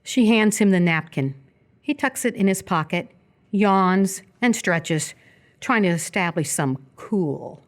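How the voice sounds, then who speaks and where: A woman's voice: on the low side, expressive, takes it slowly. A woman reading scripted stage directions as the narrator of a live radio theatre piece. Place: live stage performance before an audience.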